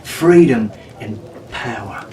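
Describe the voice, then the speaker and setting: A man's voice: medium pitch, lively intonation, slow, darkish tone, recorded from a distance. A man voicing a cocky, swaggering teenage boy pirate in a glib, theatrical manner for a storybook. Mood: urgent, persuasive. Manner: glib, exclamatory, stuttering when flustered. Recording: roomy, off-mic, faint noise.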